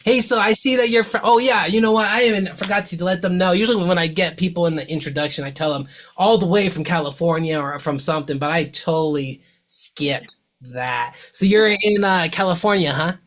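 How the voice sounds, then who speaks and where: A man's voice: sits high, fairly quick, fairly bright tone. A man hosting a podcast, talking with enthusiasm and slang, often restarting his sentences. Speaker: podcast host. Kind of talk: casual interview hosting.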